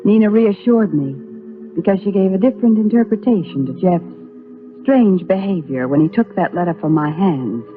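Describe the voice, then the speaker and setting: An actress playing a gentle, patient young bride-to-be, the heroine of an old radio soap opera. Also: female voice, fairly low pitch, close to an everyday voice. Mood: earnest, reflective. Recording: lo-fi, faintly noisy old radio recording.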